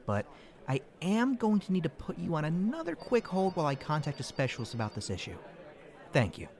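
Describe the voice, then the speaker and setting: A man playing a cocky, argumentative call-center worker in an audio comedy. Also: medium pitch. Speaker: call center customer service agent. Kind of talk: audio fiction comedy acting.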